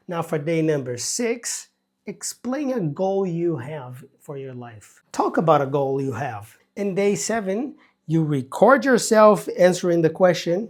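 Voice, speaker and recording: A man teaching an online English lesson in a slow, emphatic way, with joking asides. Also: a little high, darkish tone.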